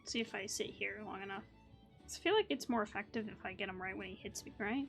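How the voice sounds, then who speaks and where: A woman's voice: medium pitch, fast, vocal fry, fairly bright tone. A woman hosting a gaming video, giving casual, chatty commentary as she thinks aloud. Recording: light game music behind voice. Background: video game music.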